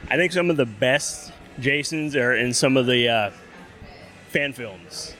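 A man's voice: mid-range voice, expressive, unhurried, bright, forceful tone, soft background music. A man talking casually and informally about horror films, with plenty of slang.

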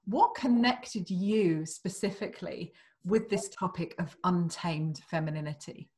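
A British woman co-hosting a conversational podcast as a life coach, effusive and quick to laugh. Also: on the low side. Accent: British English. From Britain. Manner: warm, effusive, laughs often. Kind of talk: casual conversation.

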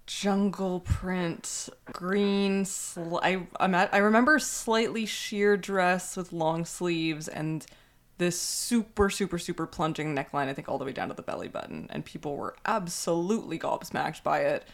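Young woman with a low, deep voice. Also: a little slow. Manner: rambling anecdotes, many "like" fillers. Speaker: podcast co-host. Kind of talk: casual podcast chat.

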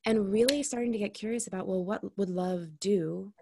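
A woman's voice: mid-range voice, normal speed, creaky, crowd noise. A woman speaking as an intimacy coach guest in a casual podcast conversation, candid and reflective, swearing now and then.

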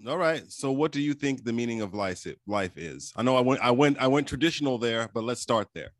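A man's voice: mid-range voice, expressive, a little fast, no room sound, clean studio sound. A man in his forties talking candidly and in a laid-back way, sharing personal stories and spiritual views in conversation. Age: 40s. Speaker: conversation partner. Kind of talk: casual chat.

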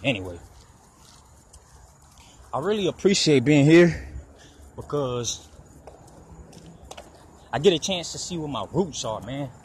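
A man's voice: on the high side, big pitch swings, slow pace, long pauses, husky. A man in his twenties talking casually for his podcast while walking outdoors by a road, rambling and swearing freely. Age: 20s. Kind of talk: casual personal monologue. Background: passing traffic.

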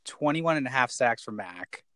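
A man's voice: medium pitch, lively intonation, moderate pace, pushed and bright, clean tone. A man hosting a casual podcast interview, playful and wisecracking. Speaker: podcast host.

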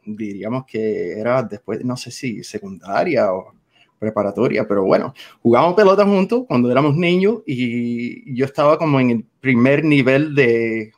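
An American man in his forties, a guest on an interview show, who speaks Spanish with a clear Cuban accent. He tells his stories in a lively, animated way and laughs between phrases. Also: mid-range voice. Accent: Cuban-influenced Spanish. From United States. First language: English. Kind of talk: casual interview storytelling.

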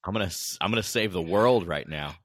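A man's voice: sits low, fairly quick, dry recording, studio quality. A man co-hosting a casual chat, chiming in with teasing jokes. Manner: joking, teasing interjections. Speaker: podcast co-host.

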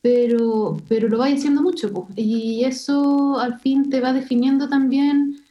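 A woman from Chile with a Chilean Spanish accent, an illustrator speaking thoughtfully as an interview guest and pausing often with fillers. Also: high-pitched, steady tone, lo-fi sound. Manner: thoughtful, hesitant with many fillers. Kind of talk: casual interview conversation.

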